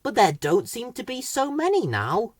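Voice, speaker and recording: A busy female bird from a children's storybook, voiced by a woman, brisk and a little exasperated. Her pitch rises and falls widely. The sound is dry. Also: wildly swooping pitch, no room sound. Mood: matter-of-fact.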